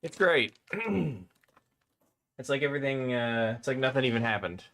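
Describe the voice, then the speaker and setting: A man's voice: medium pitch, animated, moderate pace, fairly bright tone. A man streaming a hands-on console mod at his workbench, chatting casually and rambling with lots of fillers while he gets over a slight cough from a cold. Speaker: electronics modder streaming a console mod install. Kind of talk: casual live-stream talk while working.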